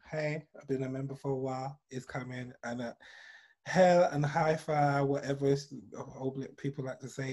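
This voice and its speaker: A British man hosting a casual podcast chat, talkative and sometimes dropping to a low mutter for asides. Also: darkish tone. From United Kingdom. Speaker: podcast host.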